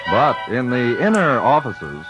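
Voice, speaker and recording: A male announcer with a mid-range voice narrates the scene in measured tones. Music plays under him, and the broadcast sounds dull and lo-fi. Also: medium pitch, sing-song. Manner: measured, descriptive. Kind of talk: scripted radio narration.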